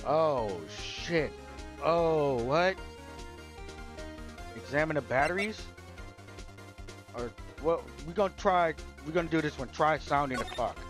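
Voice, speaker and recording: A man's voice: on the high side, animated, slow pace, long pauses. A man casually commenting on a video game as he streams it and reads its dialogue aloud. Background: game music.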